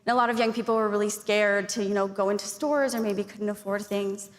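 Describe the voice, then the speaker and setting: A woman's voice: medium pitch, fairly even intonation, fairly quick. Young woman, from Arizona, earnest, chatty, long reverb of a conference hall. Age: young adult. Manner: earnest, grateful, chatty with many likes and fillers. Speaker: youth panelist. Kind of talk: conference speech.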